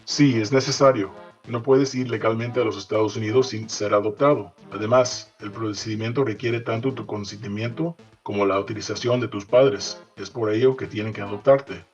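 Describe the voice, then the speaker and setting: A man plays a dutiful male interpreter in audio fiction. He speaks quickly in a lowish, neutral voice. The sound is a little muffled, with soft music behind. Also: steady tone, natural voice. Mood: neutral, formal.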